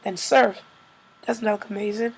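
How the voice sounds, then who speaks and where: A woman with a slightly hoarse, congested-by-a-cold voice, narrating a casual cooking tutorial as a vlogger. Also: mid-range voice, fairly even intonation, moderate pace, a little mellow, poor audio.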